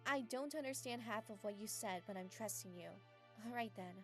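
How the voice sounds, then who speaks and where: A woman playing an earnest, guarded but kind young mage girl in an anime fan dub, soft and mildly stern. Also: on the high side, a little fast, over music, close to an everyday voice.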